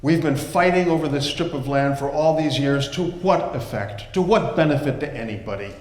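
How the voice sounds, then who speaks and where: A man's voice: a little high, moderate pace, close to an everyday voice. A man voicing a trapped, earnest landowner. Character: proud trapped landowner man, turning conciliatory. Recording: echoey hall with long reverb.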